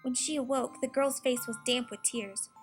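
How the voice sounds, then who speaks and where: A woman's voice: sits high, steady tone, moderate pace. A woman reading a scripted children's storybook as its narrator. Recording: music behind the narration. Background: music.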